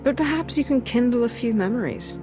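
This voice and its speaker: A woman's voice: fairly high pitch, expressive, fairly quick, music in the background, lightly acted. A woman voicing a cool, commanding sorceress in a sci-fi video game, imperious and confident. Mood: wry.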